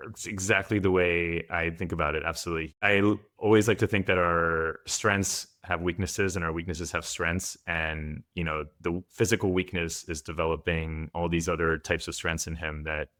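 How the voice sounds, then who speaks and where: A man's voice: low voice, little pitch movement, moderate pace, a bit bright. A man from France, a guest father, giving thoughtful, candid answers in a podcast interview.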